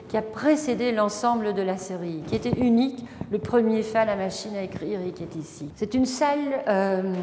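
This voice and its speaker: A woman's voice: a little high, a little mellow. Hesitant woman in a reverberant gallery. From Belgium. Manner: reflective, hesitant with many fillers and restarts. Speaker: artist touring her exhibition. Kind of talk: spontaneous gallery walkthrough. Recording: reverberant gallery room, faint noise.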